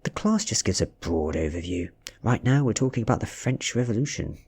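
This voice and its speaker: A British man reading as an easygoing, friendly teenage schoolboy in an audiobook, with a casual, quick delivery. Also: lowish voice, lively intonation, darkish tone, slightly rough. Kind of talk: soft-spoken audiobook character reading.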